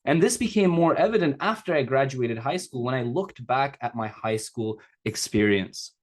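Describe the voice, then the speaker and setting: A man's voice: mid-range voice, animated, moderate pace. Earnest man speaking over a video call. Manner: casual, earnest, conversational with a few laughs. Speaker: secondary teacher and speaker. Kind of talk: personal talk to an audience. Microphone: remote video call.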